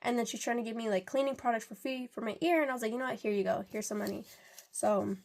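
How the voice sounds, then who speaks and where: A woman's voice: a little high, steady tone, fast, a little mellow. A young woman with a congested voice from a cold, chatting in a rambling way while counting out cash for her budget. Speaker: budgeting vlogger.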